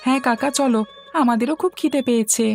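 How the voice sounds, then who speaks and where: Greedy little village boy, cartoon fairy tale, really high voice, music behind. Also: quick pace. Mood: eager, hungry. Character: greedy mischievous young village boy, two gluttonous brothers.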